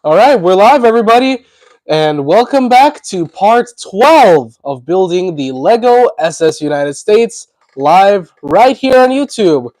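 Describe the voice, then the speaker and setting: Male streamer, sick voice: congested and hoarse, chatty and rambling, dry close sound. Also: sits high, big pitch swings, unhurried, a little mellow, no echo, rough recording. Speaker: livestream host. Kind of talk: casual livestream chat.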